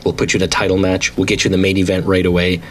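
Deep-voiced enthusiastic man in his thirties. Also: completely flat delivery, very fast, a little hiss or hum. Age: 30s. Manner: enthusiastic, rambling, many restarts. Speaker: founder and owner of an online wrestling league. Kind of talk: casual monologue to an audience.

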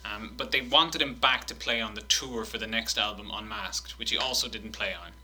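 Man, bright forceful voice, casual podcast banter, animated quote reading. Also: lowish voice, moderate pace, breathy edge. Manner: animated, swearing, laughing while reading quotes.

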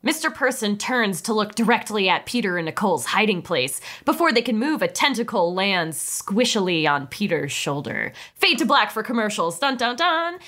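Woman reading comedy script stage directions, bright swoopy voice, playful little asides. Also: mid-range voice, big pitch swings, moderate pace, hardly any pauses. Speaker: podcast host and script narrator. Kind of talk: lively comedic script narration.

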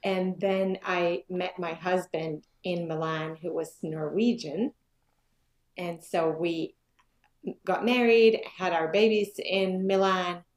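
A Finnish-born woman in her forties answers interview questions slowly and hesitantly, with plenty of fillers as she thinks aloud. Her voice sits at a middle pitch and carries the echo of a bare room. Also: fairly even intonation. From Finland. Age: 40s. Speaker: guest, yoga business owner. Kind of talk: casual conversation. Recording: echoey room.

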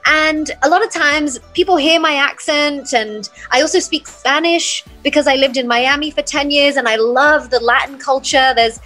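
A woman's voice: sits high, normal speed, few pauses, pushed and bright, faint music behind. A woman from England and the United States, talking earnestly and reflectively as a guest in a conversational interview. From born in England, raised there and in the United States. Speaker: podcast guest, mindset coach and yoga teacher.

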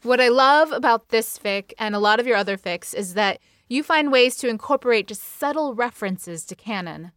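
High-voiced enthusiastic woman podcast host. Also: lively intonation, moderate pace, few pauses, fairly bright tone, no room sound, clean studio sound. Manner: enthusiastic, gushing. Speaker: podcast host interviewing a guest. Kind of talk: casual fan podcast chat.

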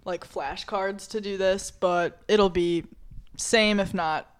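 A woman's voice: medium pitch, steady tone, takes it slowly, smooth. A young woman co-hosting a casual podcast who laughs easily and agrees readily. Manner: giggly, agreeable. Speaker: podcast co-host. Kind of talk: casual chat.

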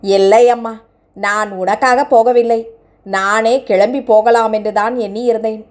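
A woman voicing a kind, fatherly middle-aged man who speaks in a gentle, coaxing way. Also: on the high side, fairly even intonation, measured pace, natural voice.

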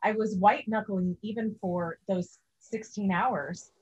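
A woman's voice: mid-range voice, fairly even intonation, slow pace, a little mellow, breathy edge, lo-fi sound. A woman in her forties, a guest in a casual interview, speaking earnestly. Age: 40s.

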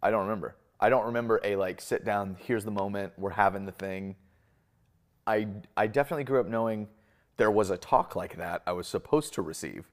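Young man with a low voice. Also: brisk. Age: 20s. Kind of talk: casual reflective interview answers.

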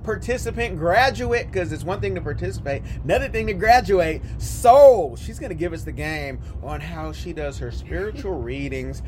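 A man's voice: a little high, moderate pace, few pauses. Upbeat male host, noisy outdoors. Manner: energetic, enthusiastic host. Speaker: podcast host. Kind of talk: casual interview.

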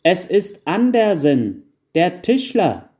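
A man voicing a motherly, brisk gnome wife in a storybook tale. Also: medium pitch, animated, warm tone, lightly acted. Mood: calling out.

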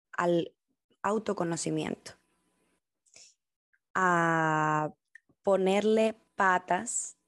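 Young Cuban woman, solo podcast host; low-set, dry, close voice; reflective and heartfelt delivery. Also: no echo. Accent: Cuban Spanish. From Cuba. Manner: reflective and heartfelt, with thoughtful pauses.

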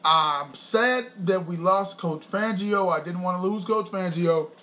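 A man co-hosting a podcast with his wife, talking in a loose, boastful, rambling way and clearing his throat often. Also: high voice, a little slow, pushed and bright. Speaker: podcast co-host. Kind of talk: casual chat.

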